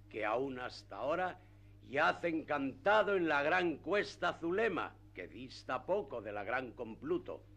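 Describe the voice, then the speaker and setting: An actor playing a pompous, flattering village priest in a declamatory radio theatre style. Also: male voice, medium pitch. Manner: declamatory, grandiloquent. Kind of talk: radio theatre acting of classic literature.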